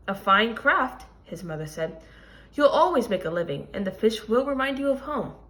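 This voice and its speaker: A woman reads a children's story aloud in the manner of a teacher. Her pitch moves in an animated way across a middle register. She tells it deliberately, leaning on important words. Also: low-quality recording. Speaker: teacher reading a picture book aloud. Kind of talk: expressive children's read-aloud with teaching asides.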